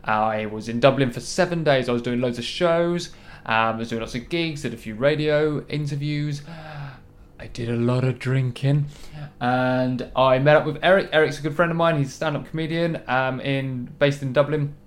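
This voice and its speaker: A man hosting a casual podcast, chatty and a little croaky from a hangover, with lots of ums. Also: mid-range voice, moderate pace. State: hungover, a bit croaky. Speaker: podcast host. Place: large room in a hostel. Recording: echoey large room.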